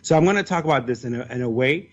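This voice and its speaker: A man's voice: medium pitch, expressive, rapid-fire. A man from Ethiopia, a congressional candidate and guest, talking earnestly about politics with many restarts and fillers. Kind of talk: political interview. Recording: muffled lo-fi call audio.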